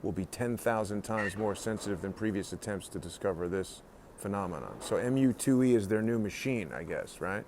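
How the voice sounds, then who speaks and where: Male tour streamer, lowish pitch, inquisitive casual commentary and reading aloud, faintly noisy indoor recording. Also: animated, a little fast. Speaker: host of a live-streamed tour. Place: indoors, walking around a research facility. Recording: noisy live stream in a public building.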